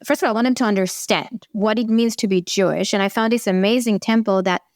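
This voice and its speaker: A woman's voice: mid-range voice, quick pace, dry recording, clean studio sound. A woman in her forties with an Israeli accent, a therapist talking earnestly and animatedly in an interview. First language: Hebrew. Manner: earnest, animated, swearing now and then. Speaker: therapist guest. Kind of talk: conversational interview.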